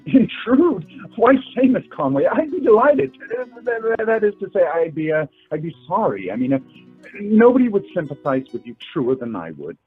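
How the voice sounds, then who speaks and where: An actor playing an earnest, polite young suitor in a radio play, courteous but halting and flustered. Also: male voice, sits high, huge pitch swings, husky, exaggerated voice. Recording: tinny phone line with music behind.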